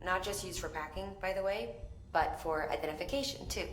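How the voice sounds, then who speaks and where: A woman's voice: lowish voice, brisk, echoey room, slight background noise, close to an everyday voice. A woman acting an easygoing, frank maintenance engineer in a video game, speaking casually. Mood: explaining, frank. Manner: casual, relaxed phrasing.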